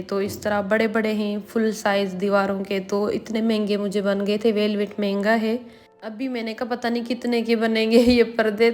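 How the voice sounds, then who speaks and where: A woman in her thirties with a Pakistani Urdu accent, hoarse from a cold, chatting casually and at length while vlogging at home. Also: mid-range voice, a little mellow. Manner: chatty, rambling, frequent fillers. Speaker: vlogger, housewife. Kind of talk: casual home vlog chat. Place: at home, indoors.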